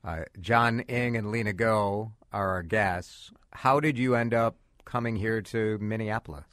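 Bright-voiced radio host, man. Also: on the low side, a little slow, dry recording, clean studio sound. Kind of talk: radio interview. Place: radio studio.

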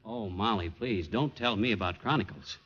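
A man's voice: fairly low pitch, normal speed, a crowd in the background, close to an everyday voice. A man playing a folksy, blustering know-it-all husband in 1940s radio comedy style. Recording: dull, noisy 1940s radio recording.

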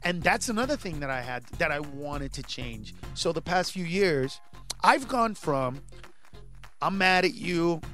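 Animated comedian man with music under. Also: on the high side, a little slow. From Honduras. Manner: animated, rising to shouts when acting out bits. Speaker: stand-up comedian, guest. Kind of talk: casual interview chat.

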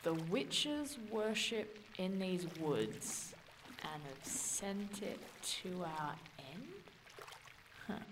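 An actress playing an irreverent, skeptical and wary young woman adventurer in an audio drama, talking in a casual, sardonic, expressive way. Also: on the low side, very slow, noisy background.

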